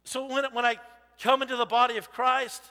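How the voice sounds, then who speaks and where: A man in his forties preaching an informal sermon in a church, talking loudly and animatedly while he walks among the audience. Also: very high-pitched, a little fast. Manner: loud and animated, walking the stage and aisles. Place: church sanctuary with a stage. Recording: long reverb of a church hall.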